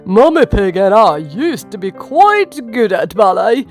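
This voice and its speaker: A woman's voice: medium pitch, big pitch swings, a little slow, soft background music. A woman doing a jolly, chuckling daddy pig. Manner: hearty and chuckling. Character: jolly, boastful father pig.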